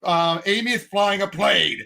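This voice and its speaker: A man in casual fan chat as a show co-host, excitable and emphatic, often halting mid-thought. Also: sits high, creaky. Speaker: co-host of a movie and comics discussion show.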